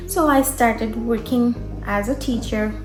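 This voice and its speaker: A young woman from Bangladesh telling her own story in a vlog, speaking in an unhurried, conversational way with frequent fillers. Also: a little high, roomy sound, over music, poor audio. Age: young adult. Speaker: vlogger.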